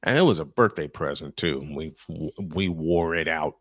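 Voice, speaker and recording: A man's voice: extremely low pitch, animated, normal speed, fairly bright tone, no room sound. American man calling baseball, dry close sound. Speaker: baseball play-by-play announcer. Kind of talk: play-by-play commentary mixed with casual chat.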